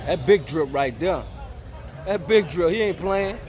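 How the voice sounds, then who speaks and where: A man vlogging as he walks an outdoor car show, chatting excitedly in slang with car owners about their rides. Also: high-pitched, a little slow. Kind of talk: casual chat while walking a car show. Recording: loud music and crowd outdoors.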